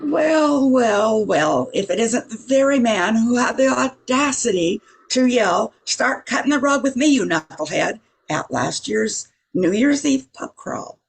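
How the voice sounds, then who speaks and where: An older woman playing a brisk, self-assured, wry radio newsroom boss in a 1940s-style radio comedy, with a creaky, husky voice. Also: fairly high pitch, animated, faint music behind, exaggerated voice, low-quality recording. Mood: teasing, wry.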